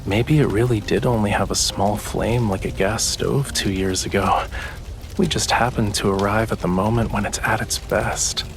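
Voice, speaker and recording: An actor playing a tender, teasing young male artist in a romantic game, speaking in a soft, intimate, unhurried way. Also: lowish voice, steady tone, a little hiss or hum, lightly acted. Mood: relaxed, wry.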